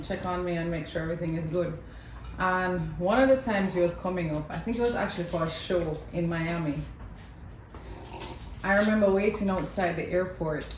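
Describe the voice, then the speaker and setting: Jamaican woman, young adult, lowish voice, chatty storyteller, echoey room, faint background hum. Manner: lively, chatty, laughs often between lines. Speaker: mother telling her son's birth story. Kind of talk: casual storytelling to camera.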